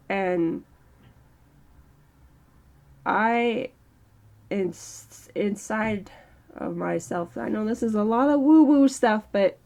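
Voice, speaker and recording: Slow, gravelly-voiced woman in her thirties. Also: mid-range voice, a little mellow. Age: early 30s. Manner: slow, halting, reflective. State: strained throat. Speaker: channel host. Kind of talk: personal mental health vlog monologue.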